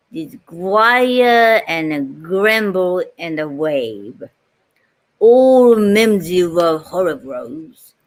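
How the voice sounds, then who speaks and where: Theatrical storyteller for heroic monster verse, performed by a woman, Japanese accent. Extremely measured pace, emphatic, stretched-out words. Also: lowish voice. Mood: ominous. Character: dramatic storyteller reciting a heroic nonsense monster poem. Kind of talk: theatrical poetry recitation.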